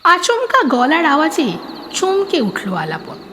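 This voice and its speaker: A woman's voice: very high-pitched, huge pitch swings, a little slow, pushed and bright, slight background noise. A woman narrating a scripted horror story in a dramatic storytelling style. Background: prominent background music.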